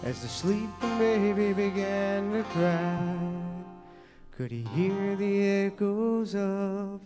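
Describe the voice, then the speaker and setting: A woman singing a slow hymn in church, drawing out each line. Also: mid-range voice. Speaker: church singer. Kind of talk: slow sung hymn with guitar. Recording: loud guitar accompaniment.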